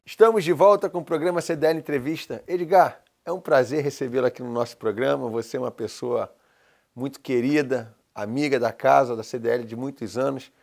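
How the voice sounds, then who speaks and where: A man's voice: mid-range voice, steady tone, a little fast, creaky, darkish tone. A man hosting a TV interview program, introducing his guest and prompting him with short questions. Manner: attentive, frequent short affirmations. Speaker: TV talk show host. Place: TV studio.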